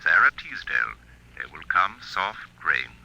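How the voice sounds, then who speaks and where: A man's voice: low-pitched, very animated, a little slow, creaky, sharp tone, slightly rough, lo-fi sound, full character voice. A man playing an automated talking house in a radio drama, making precise, formal, singsong announcements. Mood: formal, brisk.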